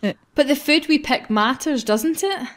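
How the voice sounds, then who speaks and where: A woman's voice: high voice, a little slow. A woman hosting a gaming video, reading a visual novel aloud and breaking into casual banter.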